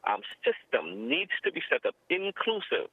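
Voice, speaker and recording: A man with a Trinidadian accent speaking as an interviewed security expert over the telephone, in a formal, measured, emphatic way, repeating phrases for stress. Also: sits high, wildly swooping pitch, gravelly, husky, no echo. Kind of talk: phone interview commentary. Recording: muffled narrow-band telephone line.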